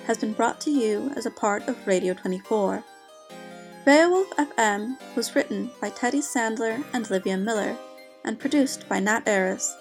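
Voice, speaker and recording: A woman's voice: a little high, creaky. A woman reading scripted credits as an announcer for a radio drama. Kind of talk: scripted narration. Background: music.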